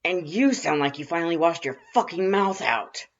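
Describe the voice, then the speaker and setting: Loud, gravelly, furious skeleton voice, pitched high. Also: lively intonation, normal speed, lo-fi sound. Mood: irritated yelling. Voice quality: rough, gravelly. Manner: shouting, crude, aggressive, swearing. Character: loud, irritated, crude skeleton brother yelling into phone.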